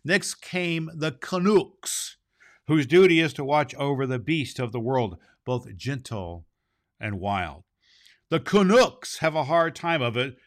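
Expressive man reading a children's storybook aloud. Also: mid-range voice. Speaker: storybook reader and podcast host. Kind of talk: storybook narration read aloud.

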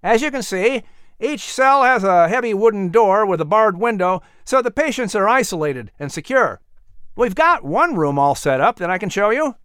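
A man's voice: high-pitched, big pitch swings, moderate pace, fairly bright tone, clean tone, dry recording, studio quality, pitched above the performer's own voice. A narrator voicing a helpful, cheerful young male workman in a story, eager and matter-of-fact.

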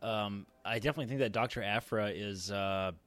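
A man's voice: on the low side, brisk, a bit bright, dry recording. A man chatting casually as a podcast panelist, thinking aloud in a hesitant, rambling way. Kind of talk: casual roundtable discussion.

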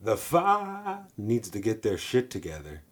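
Very deep-voiced young man joking around. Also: wildly swooping pitch, normal speed. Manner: jokey, crude, riffing. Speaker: podcast co-host. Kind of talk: casual chat.

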